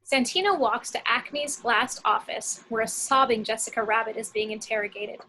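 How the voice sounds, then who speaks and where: A woman narrates a script as the reader of action lines. Her voice is on the high side and clearly bright, with a forward edge. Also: normal speed, slight background noise, low-quality recording. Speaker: narrator reading action descriptions.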